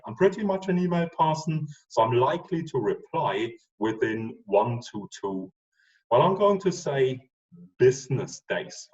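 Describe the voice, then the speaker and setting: A man's voice: medium pitch, far from the mic, dull audio. A man in his forties with a German accent, a professor giving a casual online lecture with frequent ums. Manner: relaxed, chatty, with frequent ums.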